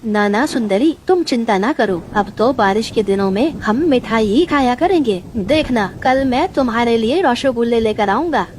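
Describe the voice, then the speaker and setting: A woman's voice: fairly high pitch, animated, normal speed, hardly any pauses, a little mellow, slight background noise, close to an everyday voice. A woman voicing a lazy, greedy male crow in a cartoon story, boastful and gloating. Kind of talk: Hindi cartoon story voice acting.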